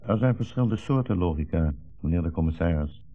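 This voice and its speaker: Deep old man, blind rabbi, measured, dignified, quietly ironic, gently defiant, tinny 1960s radio play recording. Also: expressive. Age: older. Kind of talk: 1960s radio drama acting. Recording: tinny, band-limited old radio recording, faint music.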